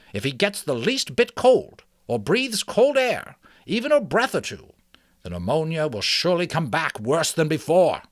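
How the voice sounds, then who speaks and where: An elderly mouse healer, acted out by a man for a storybook reading. The voice is lifted well up and swings widely in pitch. He is precise, authoritative and doctorly, stressing his instructions. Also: no room sound, clean studio sound. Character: very old wise male mouse healer, authoritative. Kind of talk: dramatic storybook character reading.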